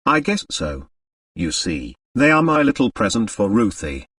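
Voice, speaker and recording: A man's voice: lowish voice, very animated, unhurried, fairly bright tone, breathy edge, no room sound, studio quality, far from the mic, exaggerated voice. A man voicing a hard, proud American millionaire who dotes on his daughter, in an audiobook. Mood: amused, proud.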